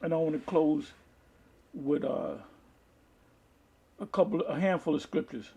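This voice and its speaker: Male lay preacher, slightly high but husky voice, stop-start reading with asides. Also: animated, warm tone. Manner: halting, repeats and restarts phrases. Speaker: preacher reading articles and scripture to an online audience. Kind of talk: informal video sermon, reading aloud with commentary.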